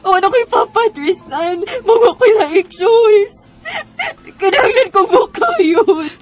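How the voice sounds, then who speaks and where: A woman's voice: extremely high pitch, animated, slow, heavily acted. A woman with a Cebuano accent playing a desperate, pleading young mistress in a Bisaya radio drama. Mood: tearful pleading. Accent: Cebuano Filipino.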